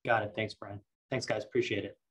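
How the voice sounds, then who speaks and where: A man asking informal questions as an analyst on an earnings call, in a halting way that repeats words. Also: lowish voice, little pitch movement, a bit bright, breathy edge.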